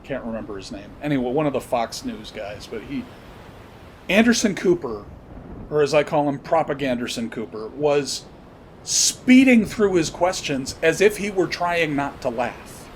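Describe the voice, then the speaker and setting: Blunt man podcasting over rumbly background noise. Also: animated. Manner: halting, searching delivery with pauses and repetitions; blunt, profane. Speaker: podcast host. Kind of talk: unscripted political commentary monologue. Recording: noisy, with low rumble behind.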